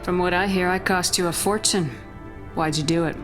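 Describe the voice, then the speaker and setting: Actress as tough female sci-fi commander: low register, blunt, firm, unsentimental, music underneath. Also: fairly even intonation, normal speed, close to an everyday voice. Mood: guarded, probing. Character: hardened, blunt female space military commander. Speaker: video game protagonist. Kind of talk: video game voice acting. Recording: loud background music. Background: game music.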